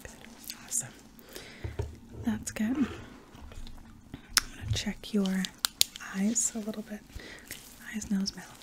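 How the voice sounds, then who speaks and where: A young adult woman in a whispered ASMR medical roleplay, breathy and soft, giving reassuring step-by-step instructions up close. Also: medium pitch, fairly even intonation, slow pace, long pauses. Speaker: ASMR roleplayer playing an anesthesiologist.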